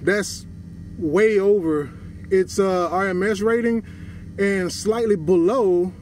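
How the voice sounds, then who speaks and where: A man's voice: sits high, slow pace, a little mellow. A man hosting a hands-on product test, explaining each step to the viewers as he goes. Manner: explanatory, addresses viewers as "you guys". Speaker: car audio reviewer and host. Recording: clear background noise, vehicle ambience.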